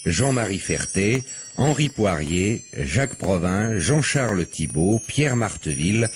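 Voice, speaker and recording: A man's voice: on the low side, very animated, a little slow, a little hiss or hum. A man working as a radio announcer, formally reading scripted credits over music with tinkling bells.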